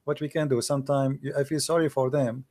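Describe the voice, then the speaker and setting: A man's voice: medium pitch, little pitch movement, fast, crowd noise. A man hosting a call-in show, earnestly advising a caller in slightly non-native English. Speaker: host taking calls.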